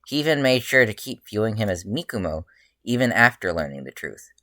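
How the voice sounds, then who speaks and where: An author with a low voice narrating a story aloud in an informal live reading. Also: big pitch swings, brisk, pushed and bright, no room sound, studio quality. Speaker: author reading own fan fiction.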